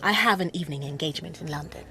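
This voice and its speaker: An actress in a radio drama playing a composed, polite, smooth-talking female con artist. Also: deep voice, rapid-fire, crowd noise. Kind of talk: naturalistic radio drama acting.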